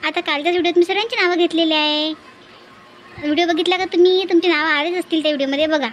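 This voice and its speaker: A woman hosts a cartoon channel in a chatty, coaxing way. Her voice is very high and quick, with faint noise behind it. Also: steady tone, a bit bright. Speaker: host of a comedy cartoon channel. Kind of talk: chatty address to viewers.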